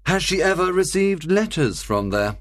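A man's voice: high-pitched, sing-song, moderate pace, no room sound, studio quality. A man playing a composed Victorian detective who questions methodically in a dramatised audiobook. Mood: probing.

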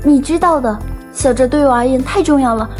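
An actress playing a troubled, devoted young student and older sister in an audio drama. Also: female voice, high-pitched, a little fast, a little mellow, soft background music, close to an everyday voice. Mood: sad, hesitant. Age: young adult. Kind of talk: Chinese audio drama acting.

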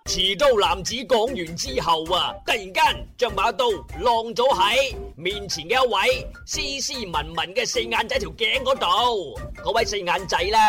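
A colloquial, teasing storyteller, narrating a comic radio drama with drawn-out emphasis. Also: medium pitch, animated, sharp tone. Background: music.

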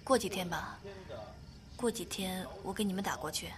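A woman's voice: on the low side, normal speed, over a noisy crowd, natural voice. A woman playing a put-upon, easily exasperated young woman in a modern radio drama. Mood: subdued.

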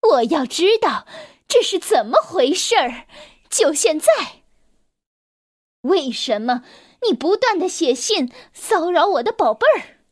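A woman's voice: fairly high pitch, very animated, unhurried, husky, no room sound, studio quality, full character voice. A woman voicing a protective mother sheep who is indignant and demanding. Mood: furious.

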